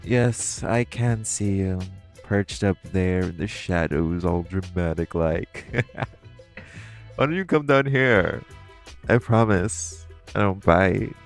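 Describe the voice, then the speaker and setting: A man's voice: low voice, slow pace, soft background music. A man playing a flirty, cocky supervillain master thief in an audio roleplay, teasing and theatrical. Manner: teasing, theatrical, purring, chuckling. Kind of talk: audio roleplay acting, intimate monologue to listener.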